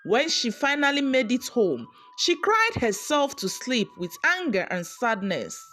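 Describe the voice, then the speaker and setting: Female storyteller for folktale narration: slow delivery, highish and lively pitch, music underneath.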